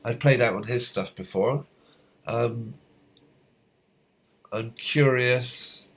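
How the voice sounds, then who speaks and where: A man hosting a live stream, reading out viewers' comments in a halting, rambling way, his voice going hoarse as a cold comes on. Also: medium pitch, a bit bright. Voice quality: slightly hoarse. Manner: rambling, halting, many fillers.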